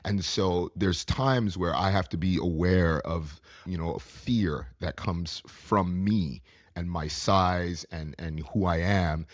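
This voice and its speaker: A man with a deep voice, a podcast guest who trains camp counselors, talking in an animated, storytelling way with repetition and laughs. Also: takes it slowly, no echo, clean studio sound. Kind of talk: conversational podcast talk.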